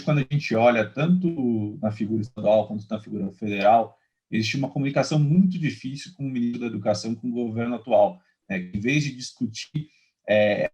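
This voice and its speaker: A man's voice: lowish voice, a little mellow. A man with a Brazilian Portuguese accent moderating an interview, building long, roundabout questions in a conversational way.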